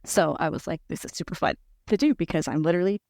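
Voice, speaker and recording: A woman in her forties talks in a lively, squeaky voice that sits a little low at rest. She jumps way up in pitch when acting out her own thoughts. The sound is close and dry. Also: fast, creaky, dry recording. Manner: animated, jumps high in pitch when quoting herself. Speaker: podcast guest. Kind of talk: casual chat.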